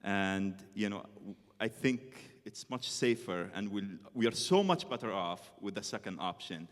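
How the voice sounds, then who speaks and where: A man's voice: fairly low pitch, expressive, unhurried. A man speaking reflectively as a guest on stage, hesitant and full of filler phrases. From northern Israel. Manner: hesitant, frequent "you know". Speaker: interview guest, violinist. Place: on stage in a concert hall. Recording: reverberant concert hall.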